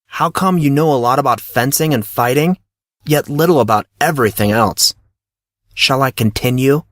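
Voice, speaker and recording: A man's voice: mid-range voice, moderate pace, no echo, clean studio sound, lightly acted. A man playing a cocky, breezy teenage schoolboy who talks in slangy, self-assured teasing for an audio drama. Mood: defensive. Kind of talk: audio drama character reading.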